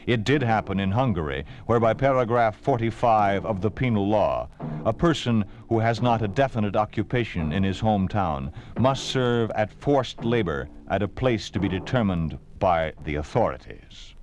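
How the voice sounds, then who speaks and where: A man's voice: lowish voice, expressive, moderate pace, darkish tone, lo-fi sound. An American man announcing from a script in formal, solemn, emphatic 1950s radio style. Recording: hissy, noisy 1950s radio recording.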